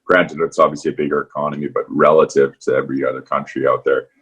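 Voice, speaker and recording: A man's voice: very deep voice, flat delivery, fast talker, a bit bright, breathy edge. A man hosting a semi-formal investment conference call. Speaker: portfolio manager hosting the call.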